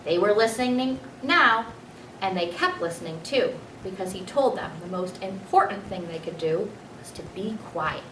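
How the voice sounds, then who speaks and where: Female children's book narrator, medium pitch, expressive. Roomy recording with faint noise. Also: moderate pace, distant mic.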